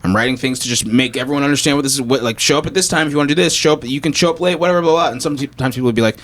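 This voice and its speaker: A man's voice: medium pitch, racing, fairly bright tone. A man chatting casually and comically as a podcast guest, ranting and acting out imagined exchanges. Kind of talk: casual comedic chat.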